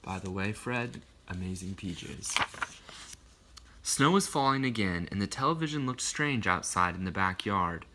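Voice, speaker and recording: A man with a bright, forward voice reads a children's picture book live. He tells it expressively, in the casual way of someone sharing a favorite book. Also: low voice, animated, moderate pace, a little hiss or hum.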